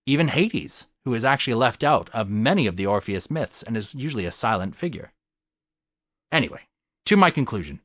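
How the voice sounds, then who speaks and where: A man's voice: mid-range voice, fairly bright tone, no echo, studio quality. A man narrating a scripted video essay to viewers in a formal, articulate and emphatic manner. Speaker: video essayist and theatre artist.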